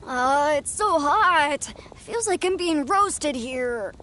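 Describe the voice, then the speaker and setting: A woman's voice: high-pitched, lively intonation, slow pace, sharp tone, slight background noise, heavily acted. A woman playing an eager, slightly whiny young festival-goer in a video game. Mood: eager, overheated. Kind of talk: video game story dialogue.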